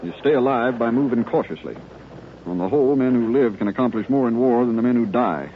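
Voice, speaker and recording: A male actor playing an earnest army lieutenant who steadies his men in measured, rhetorical phrases, in a 1950s radio drama style. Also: mid-range voice, slight background noise, close to an everyday voice. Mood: steady, commanding. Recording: muffled old 1950s radio recording.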